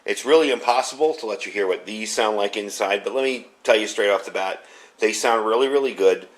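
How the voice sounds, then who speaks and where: A man's voice: lowish voice, brisk. A man giving a casual hands-on gear review indoors, explaining things in a rambling, informal way. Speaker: product reviewer. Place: indoors at home.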